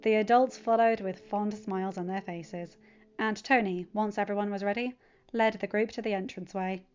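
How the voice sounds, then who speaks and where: A woman's voice: medium pitch, steady tone, moderate pace, clean tone. Woman narrating a story, slightly muffled. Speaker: fan fiction audiobook narrator.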